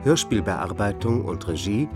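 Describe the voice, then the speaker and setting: A man reading the credits of a radio play as a scripted announcement, measured and formal. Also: fairly low pitch, sing-song. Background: plucked guitar music.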